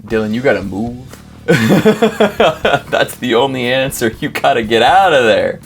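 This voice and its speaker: A man hosting a casual comedic reaction show, talking in an animated, wry, rambling way with sudden exclamations. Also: mid-range voice, takes it slowly, music in the background. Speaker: host reacting to scary clips.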